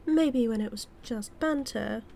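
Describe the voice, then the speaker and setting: A woman's voice: a little high, measured pace, vocal fry, warm tone, a little hiss or hum. A British woman playing a smug, scheming supervillain in an audio roleplay, taunting her nemesis with a theatrical, drawling delivery that dips toward whispers. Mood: gloating, flirtatious. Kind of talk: scripted villain monologue roleplay, spoken to the listener.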